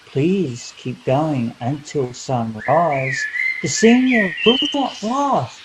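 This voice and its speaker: A man voicing a mysterious, loving forest figure in a storybook, gently pleading. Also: on the high side, expressive, very slow, very noisy. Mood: tender pleading.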